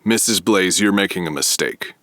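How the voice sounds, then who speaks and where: A man's voice: deep voice, normal speed, bright, forceful tone, no room sound, clean studio sound. A man playing a cold, disdainful government agent in an old-style detective radio drama, speaking formally and curtly. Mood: threatening.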